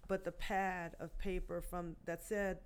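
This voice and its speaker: A woman in her sixties, a guest poet, telling her life story in a podcast interview with many pauses and restarts. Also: lowish voice, little pitch movement, clean tone. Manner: halting, many fillers and restarts.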